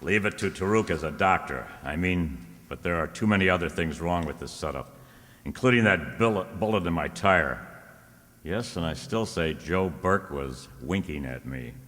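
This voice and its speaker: Brief: male actor as sardonic, stubborn radio private eye, low and forceful, clipped delivery, recorded in a reverberant hall. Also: animated, normal speed. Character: wisecracking, stubborn, suspicious male private detective. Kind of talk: old-time radio detective drama acting. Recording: echoey hall, long reverb.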